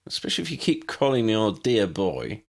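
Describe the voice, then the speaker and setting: A man reads the part of a grown wizard lawman in a storybook, low-voiced and friendly. He is plain, reassuring and dry, with very mobile intonation. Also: huge pitch swings, brisk, vocal fry, full character voice. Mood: wry.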